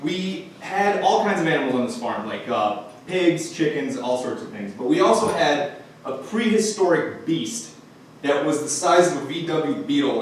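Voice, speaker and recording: A man from Romania telling a childhood story on stage in a loose, halting way with frequent uh's. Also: medium pitch. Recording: echoey room mic, far off, faint noise.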